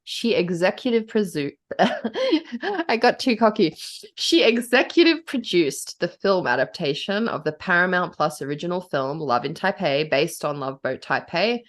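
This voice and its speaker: A woman's voice: on the low side, very animated, unhurried. A woman co-hosting a podcast in casual chat, giggly and rambling, saying "like" a lot. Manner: giggly and rambling, heavy use of "like". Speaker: podcast co-host.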